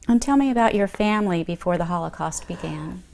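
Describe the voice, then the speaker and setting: A woman conducting an oral history interview, asking questions in a calm, formal way. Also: lowish voice, a little fast, gravelly. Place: museum interview room.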